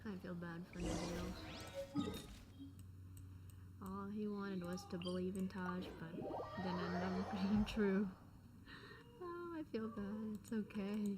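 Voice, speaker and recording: A woman's voice: mid-range voice, slow pace, long pauses. Young-sounding slangy female gamer, noisy audio. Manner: slangy, empathetic, reactive. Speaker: gaming streamer. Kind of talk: casual reaction commentary over gameplay. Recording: noisy mic with game sound. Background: video game ambience.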